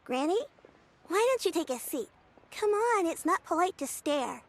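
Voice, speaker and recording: A woman's voice: very high-pitched, sing-song, normal speed, full character voice. A woman voicing a cheerful, polite young girl in a video game who looks after her grandmother. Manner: bright and upbeat, polite.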